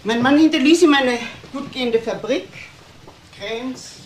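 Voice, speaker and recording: An older actress playing a wealthy, bitter widowed mother in a German crime radio drama, speaking deliberately and dramatically with pauses. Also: sits high, slight background noise. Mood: composed, reflective. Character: old wealthy widowed mother, bitter about her son.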